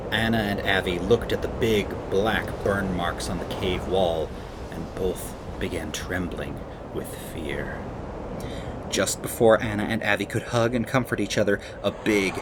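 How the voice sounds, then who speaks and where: A man reading to children as a storyteller, expressive, with dramatic emphasis. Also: fairly low pitch, heavy background noise.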